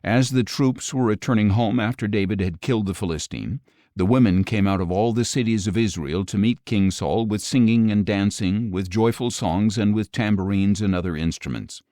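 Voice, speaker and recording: A man reading scripture as an audiobook narrator, in a measured, expressive storytelling delivery. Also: lowish voice, dry recording, studio quality.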